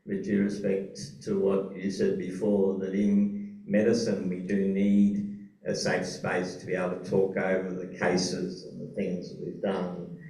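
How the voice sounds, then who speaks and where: A man on a conference panel, speaking in a measured, thoughtful way with some hesitations. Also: sits low, completely flat delivery, dark tone. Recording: roomy conference hall, mic far off.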